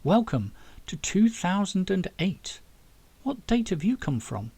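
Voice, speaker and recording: An eager young woman assistant from an audiobook, put on by a man. She is helpful and soft-mannered, speaking in a raised, animated pitch with some husk. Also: a little slow, gravelly, breathy edge, full character voice. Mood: excited.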